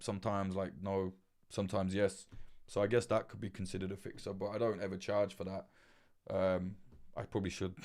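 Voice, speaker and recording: A man talks casually into a desk mic at his laptop on a livestream, with a slightly low voice. He is frank and swears freely, rambling and restarting his sentences as he answers viewer questions. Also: a little mellow. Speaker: livestream host giving journalism advice. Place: indoors at a laptop with webcam. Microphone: desk mic through a mixer.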